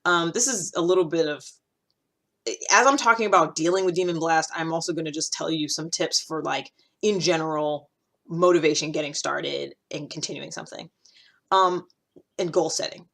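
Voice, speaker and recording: The lowish-pitched woman you'd hear on a homemade podcast, talking animatedly from a small room as if out on a walk with a friend. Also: steady tone, normal speed, vocal fry, fairly bright tone. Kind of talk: casual talk to camera. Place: small indoor room with a cloth backdrop.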